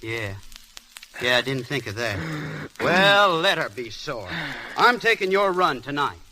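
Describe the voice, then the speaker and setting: A man's voice: mid-range voice, very animated, unhurried, pushed and bright, exaggerated voice. A man playing a generous, insistent pilot pal with an emphatic, reassuring way in a 1930s film. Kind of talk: 1930s Hollywood film acting.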